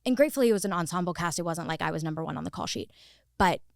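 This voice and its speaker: Woman chatting as a podcast guest, lowish voice, says like a lot, dry close sound. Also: expressive, rapid-fire, creaky, no echo. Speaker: podcast guest, actor turned director. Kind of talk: conversational interview storytelling.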